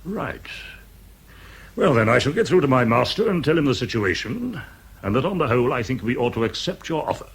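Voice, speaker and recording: An actor playing a courteous middle-aged English commanding officer, clipped and blustery, in a radio drama. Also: male voice, medium pitch, very animated, a little fast, slightly rough. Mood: brisk, concerned. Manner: clipped, blustery, old-boy politeness.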